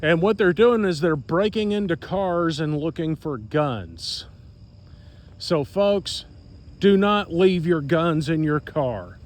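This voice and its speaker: A man's voice: on the high side, lively intonation, slow pace. An American man telling a personal story casually to followers, in a blunt, sarcastic, plain-spoken manner. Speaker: storyteller recounting own experience to followers.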